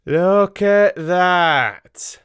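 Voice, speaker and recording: A man voicing a pompous, self-satisfied and excitable little police detective in a dramatised audiobook, with a boastful, showman-like manner. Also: sits high, very slow, clean tone, no room sound, clean studio sound, voice pushed up, full character voice. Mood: triumphant, pompous. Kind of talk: dramatic audiobook character acting.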